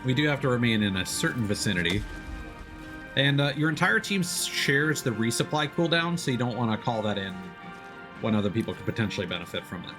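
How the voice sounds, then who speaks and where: A man's voice: mid-range voice, very animated, a little fast, over music. A man giving casual live game commentary, thinking aloud and explaining things as he plays. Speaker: streamer, gamer.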